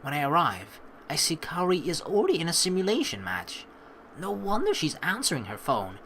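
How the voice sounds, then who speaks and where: Animated young man doing game commentary, bright voice. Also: fairly high pitch, a little fast, a little hiss or hum. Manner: expressive, rambling asides. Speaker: let's play host. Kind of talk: game commentary and reading narration aloud.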